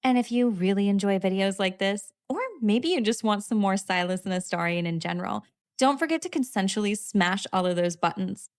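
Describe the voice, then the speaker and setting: A woman doing casual game commentary and reading lines aloud, expressive, blunt and sassy. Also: medium pitch, fairly quick. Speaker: video creator reacting to a game.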